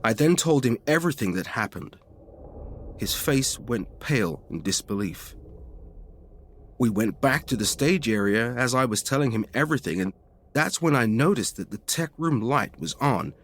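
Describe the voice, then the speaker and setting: A man's voice: mid-range voice, animated, moderate pace. A man reading a scripted first-person ghost story in a dramatic storytelling manner. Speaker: story reader.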